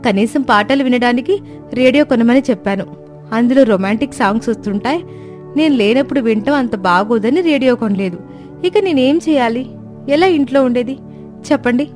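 A woman plays a cartoon sparrow wife with a fairly high voice. She is outspoken and long-suffering, pouring out her grievances in plaintive, emotional speeches. Music plays underneath her. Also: animated, normal speed, darkish tone, natural voice. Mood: plaintive, aggrieved. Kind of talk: dramatized moral story cartoon dialogue. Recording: music bed behind voice.

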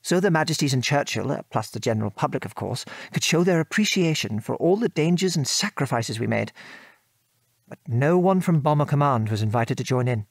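A man's voice: medium pitch, animated, vocal fry, no room sound. An actor with a British accent playing a former RAF airman and father, frankly recounting his war service in an articulate, old-fashioned way for an audio drama. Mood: bitter, indignant. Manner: articulate, measured storytelling with old-fashioned British turns of phrase. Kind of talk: conversational audio drama reminiscence.

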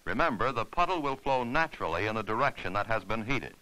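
A man's voice: medium pitch, expressive, fast, bright, forceful tone, poor audio. A man narrating an instructional film in a formal, scripted, step-by-step way.

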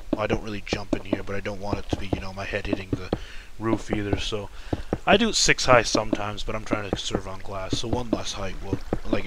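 A man with a deep voice giving casual, rambling commentary while playing a game, speaking softly and correcting himself as he goes. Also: noisy background. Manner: soft-spoken, rambling, self-correcting. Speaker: let's-play gamer.

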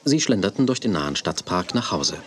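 An actor narrating a children's audio drama as its storyteller, reading scripted prose. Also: male voice, low-pitched, sing-song, brisk, a bit bright, faint music behind. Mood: calm storytelling. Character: male storyteller of a children's tale.

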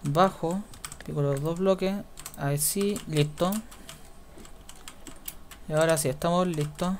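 Soft-muttering Chilean young man. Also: on the high side, fairly even intonation, a little slow, slight background noise. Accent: Chilean Spanish. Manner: quiet muttering, repeats words in runs. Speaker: gaming YouTuber. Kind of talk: casual gameplay commentary.

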